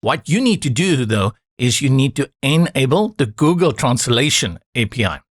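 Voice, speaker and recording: A man whose first language is Afrikaans talks viewers through each click of a software setup. His voice sits at an ordinary middle pitch. The recording is close and dry, with no room sound. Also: moderate pace, no echo. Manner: calm, instructive, reassuring asides. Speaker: software tutorial presenter. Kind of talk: screen-recorded step-by-step tutorial.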